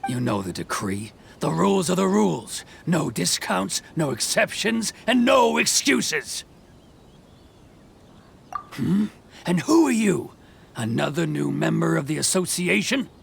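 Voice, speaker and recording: A man's voice: fairly high pitch, lively intonation, unhurried, gravelly, slight background noise, heavily acted. An actor voicing a haughty, corrupt male official in a video game, pompous, evasive and full of bluster. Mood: haughty, dismissive.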